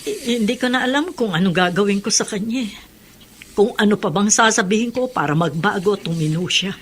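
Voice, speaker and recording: A woman's voice: medium pitch, lively intonation, fairly quick, a little hiss or hum, natural voice. An actress playing an anguished, careworn middle-aged mother, pleading and emotional in radio drama style. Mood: worried. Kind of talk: Filipino radio drama acting.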